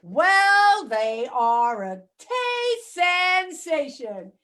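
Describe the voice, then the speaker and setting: A woman voicing a greedy, excitable male pigeon from a storybook, in a put-on American accent with loud, drawn-out, exaggerated delivery. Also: very high-pitched, full character voice. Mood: gloating. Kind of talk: children's storybook reading.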